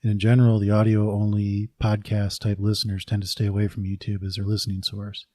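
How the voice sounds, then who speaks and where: A man's voice: on the low side, completely flat delivery, brisk, warm tone, no echo, clean studio sound. A man giving a conversational podcast announcement as host and producer.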